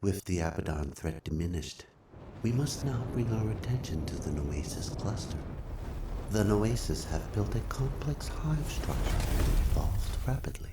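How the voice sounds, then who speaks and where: An actor playing a calm, solemn machine guide giving formal, measured mission briefings in a game. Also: male voice, low voice. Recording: loud background noise and ambience.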